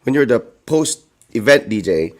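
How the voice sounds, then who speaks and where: Man, hoarse voice, rambling casual co-host, medium pitch. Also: expressive, measured pace, noisy background. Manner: rambling, heavy on "like" and "you know". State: hoarse, recovering from a lost voice. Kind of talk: casual chat.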